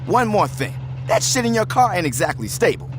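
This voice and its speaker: A chop shop mechanic in a game cutscene, hurried and cautioning, in a high, lively voice. Clear outdoor street noise sits behind him. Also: male voice. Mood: urgent. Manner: hurried and warning.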